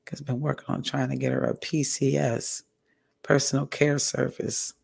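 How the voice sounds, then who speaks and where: A woman vlogger with a hoarse, raspy voice, giving a candid personal update in a slow, halting way and often clearing her throat. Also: very deep voice, little pitch movement, a little mellow. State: hoarse, recovering from a stroke. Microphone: audio only, home recording.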